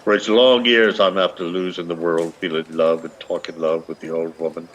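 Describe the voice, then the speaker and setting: A man's voice: low-pitched, level tone, normal speed, a bit bright, poor audio. An actor playing a lovestruck, shabby old Irish beggar man in a radio play, speaking in long, poetic, pleading runs. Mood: wistful, wooing. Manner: poetic, long rolling pleas. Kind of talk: lyrical stage radio-play acting.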